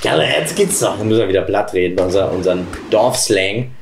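A man in his thirties whose first language is Low German, chatting and joking as co-host of a retro game let's play. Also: animated, quick pace, vocal fry. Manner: jokey, chatty, asks questions. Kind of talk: casual chat over gameplay. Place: small room indoors. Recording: roomy sound, faint noise.

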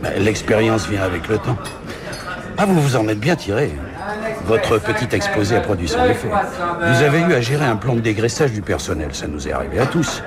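Cynical senior manager, man, low pitch, pompous, sententious, radio drama, very loud crowd behind. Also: big pitch swings. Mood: approving, pompous. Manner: pompous, sententious, measured phrasing. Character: self-satisfied, cynical senior manager dispensing advice. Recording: loud crowded bar chatter.

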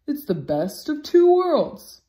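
A woman's voice: high voice, big pitch swings, slow, dark tone, voice pushed up, full character voice. A woman voicing a caring, encouraging parent dog in a children's storybook, reassuring a pup. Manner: encouraging, comforting. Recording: roomy home recording.

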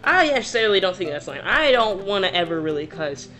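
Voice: medium pitch, big pitch swings, quick pace, bright, forceful tone, faint music behind. A YouTuber telling a personal story in a casual, rambling, slangy way. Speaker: YouTuber narrating a personal story over gameplay.

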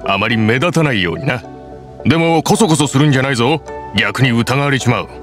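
A man plays a friendly young builder of boats, easygoing and modest. His voice sits at an ordinary middle pitch and stays calm and reassuring. Clear game music plays beneath him. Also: fast, natural voice. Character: friendly young male boatbuilder. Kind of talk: video game voice acting.

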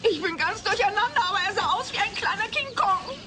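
Very shrill, excitable neighbour woman. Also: fairly quick. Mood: insistent. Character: excitable, insistent, frightened nosy neighbour woman. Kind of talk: dubbed sitcom audio drama. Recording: faintly noisy old cassette.

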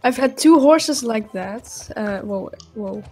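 Slow-talking Belgian young woman. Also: on the high side, expressive, slightly rough. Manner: halting, searches for words. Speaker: guest player. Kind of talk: casual game chat. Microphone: voice chat. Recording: faint game music behind.

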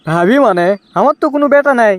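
A man's voice: high voice, expressive, fast talker, no room sound. A man voicing an insistent, scolding village Arabic teacher with a Bogura Bangladeshi accent in a comic cartoon. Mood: indignant.

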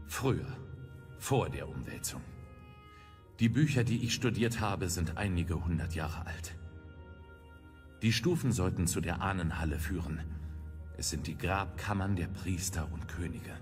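A man playing a cunning, secretive, self-assured wizard with a measured, mysterious delivery. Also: low voice, lively intonation, faint music behind. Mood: cool, mysterious.

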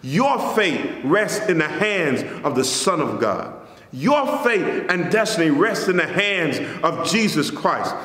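A pastor in his forties preaching a sermon in a church sanctuary, measured and rising on key phrases, then dropping soft at the ends of lines. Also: fairly high pitch, very animated. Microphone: stand mic in front, camera at a distance. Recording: echoey church hall.

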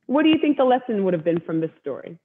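A woman's voice: medium pitch, very animated, fast talker. A woman in her thirties hosting a children's story time over a video call, with an encouraging, teacherly way of talking. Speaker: author and event host. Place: room at home, indoors. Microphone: video call, wireless earbud. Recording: dull video-call audio.

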